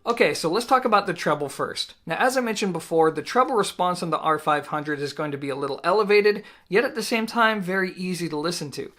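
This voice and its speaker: A man in his thirties with a fairly high-pitched voice presents a gear review. He talks conversationally to camera as host, laying out his points in a measured, explanatory way. Key words get small, deliberate pauses. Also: animated, a bit bright, soft background music. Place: small indoor home listening room.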